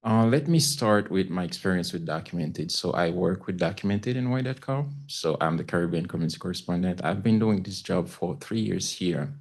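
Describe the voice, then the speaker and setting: A man with a Haitian accent speaking as a panelist about his own experience, haltingly and searching for words. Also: deep voice. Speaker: panelist, community news correspondent. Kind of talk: panel talk, personal account.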